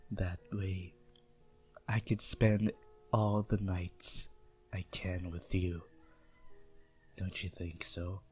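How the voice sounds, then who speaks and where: A man playing a possessive, seductive vampire prince in a teasing, intimate ASMR roleplay with quiet menace. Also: sits low, flat delivery, drawn-out words, pauses often, soft background music.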